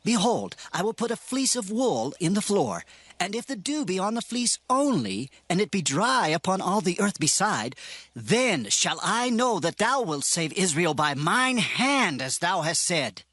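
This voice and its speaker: An anxious, humble ancient warrior pleads earnestly in a dramatized scripture reading. His voice rides high and swings widely in pitch, recorded close and dry. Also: big pitch swings, a little slow, fairly bright tone, no echo, exaggerated voice. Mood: humble, pleading. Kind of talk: dramatized scripture audio acting.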